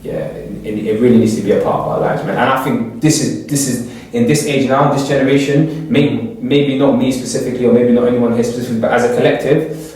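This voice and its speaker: A man's voice: medium pitch, fairly even intonation, quick pace, hardly any pauses, darkish tone. A man speaking earnestly in an opinionated group discussion, stressing points by repeating phrases. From Eritrea. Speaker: discussion panel member. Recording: echoey room, distant mic, background noise.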